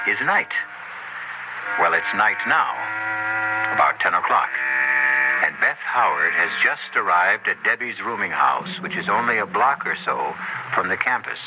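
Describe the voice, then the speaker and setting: A man playing the ominous, urbane storyteller of a radio horror series, narrating in a measured, portentous way. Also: fairly low pitch, close to an everyday voice. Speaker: host and narrator. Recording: noisy, muffled 1970s radio recording.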